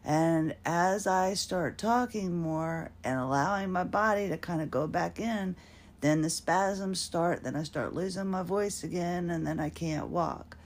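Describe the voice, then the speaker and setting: A woman's voice: on the low side, unhurried. A woman hosting a personal podcast, rambling and self-mocking, often breaking into laughter as she talks. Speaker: podcast host.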